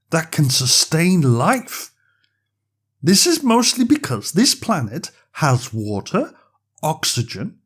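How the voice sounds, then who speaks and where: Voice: mid-range voice, breathy edge, voice pushed up, full character voice. A storyteller voicing a small, chubby, friendly alien creature who eagerly explains things as a planetary guide in a children's bedtime story. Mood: warm, explaining.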